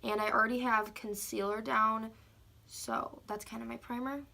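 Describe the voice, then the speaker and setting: A woman's voice: a little high, little pitch movement, moderate pace, vocal fry, pushed and bright. A woman vlogger talking through a makeup tutorial at home, chatty and full of "like", sounding congested from a cold. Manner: chatty, filler-heavy, says "like" often. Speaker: beauty vlogger. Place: at home, indoors. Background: faint heater hum.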